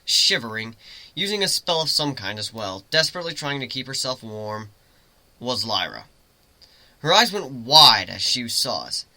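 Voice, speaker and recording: A man giving an expressive, theatrical dramatic reading of a first-person story. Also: medium pitch, no echo. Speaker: amateur story reader, narrator.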